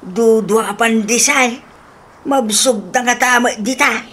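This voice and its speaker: A woman's voice: on the high side, slow pace, fairly bright tone, breathy edge, heavily acted. A woman acting as a grumbling, concerned elderly father in a comedy radio drama, fretful and chiding.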